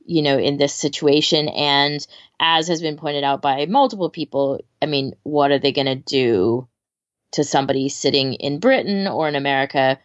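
A woman's voice: low voice, moderate pace, no echo. A woman co-hosting a podcast, chatting informally and giving her opinions, on slightly dull audio. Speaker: podcast co-host. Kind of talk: casual discussion.

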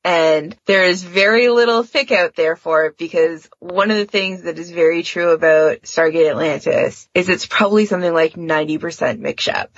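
Woman, podcast host, slightly low voice, creaky fry, informal explaining, dull close lo-fi recording. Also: moderate pace, hardly any pauses, no echo. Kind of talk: casual fandom podcast discussion.

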